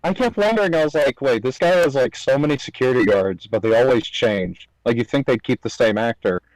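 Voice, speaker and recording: A man's voice: medium pitch, fairly quick, no echo, rough recording. A man chatting casually and informally as a guest on a podcast about movies.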